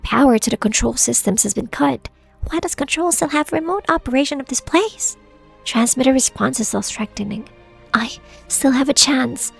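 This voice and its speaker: A woman playing a stubborn, determined researcher in a fan-dubbed game story, terse and resolute with a husky, creaky voice. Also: high voice, normal speed, heavily acted. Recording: faint background music.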